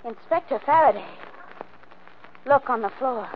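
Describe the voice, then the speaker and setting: A woman's voice: sits high, lively intonation, a little slow. An actress playing a polite young woman in a 1940s radio drama. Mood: alarmed. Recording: tinny old radio recording, faint hiss.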